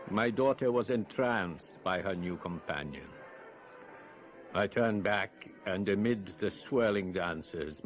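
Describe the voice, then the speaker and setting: An actor playing a grieving, vengeful old general in a radio drama, solemn and halting in his speech. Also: deep voice. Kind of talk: 1980s radio drama acting.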